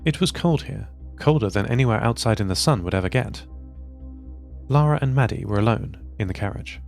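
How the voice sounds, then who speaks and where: A man narrating an audio drama in a calm, measured way. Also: mid-range voice, vocal fry, faint music behind.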